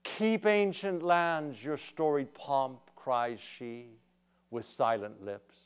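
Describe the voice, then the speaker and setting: A middle-aged man in a studio, reciting a poem and presenting in a slow, dramatic way. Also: mid-range voice, smooth. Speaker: narrator.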